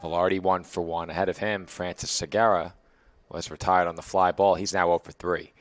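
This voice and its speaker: A young man with a hoarse, raspy voice, calling baseball play-by-play pitch by pitch. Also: moderate pace, no room sound. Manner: steady, calls each pitch and count. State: hoarse, losing his voice. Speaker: play-by-play announcer.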